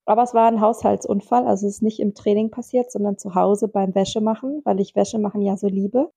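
Co-host, woman with a cold: nasal, raspy, low-key ironic chat. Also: steady tone, quick pace, warm tone, no echo. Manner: dry, ironic humor. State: stuffy nose from a cold. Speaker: podcast co-host, dance teacher. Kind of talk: casual chat.

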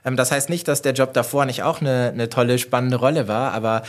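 A man's voice: mid-range voice, steady tone, fairly quick. Reflective German businessman with many fillers. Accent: German (northern German). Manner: thoughtful, many fillers and restarts. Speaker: managing director, guest. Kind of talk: conversational interview answers.

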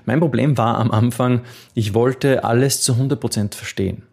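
A man's voice: medium pitch, moderate pace, gravelly, darkish tone. A man coaching on memory techniques, answering a viewer's question in an earnest, encouraging way with occasional restarts.

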